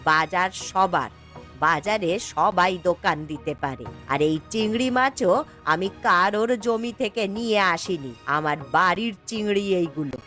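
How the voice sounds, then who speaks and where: A woman's voice: on the low side, animated, normal speed, fairly bright tone. A woman playing a poor, proud old village grandmother in a fairy-tale cartoon, speaking in an emphatic, indignant way. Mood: defiant. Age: older. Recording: background music throughout.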